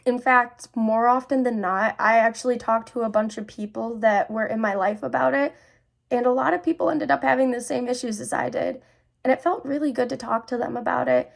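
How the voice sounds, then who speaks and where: A woman's voice: on the high side, steady tone, a little fast, creaky. A young adult woman speaking earnestly and confidingly, as a host sharing personal experiences. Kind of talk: personal talk to camera about mental health.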